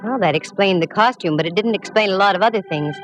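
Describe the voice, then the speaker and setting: A woman's voice: medium pitch, fast talker, close to an everyday voice, low-quality recording. A woman playing a wisecracking private detective, narrating in wry hardboiled style in a 1940s radio drama. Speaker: lead actress and narrator. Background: music.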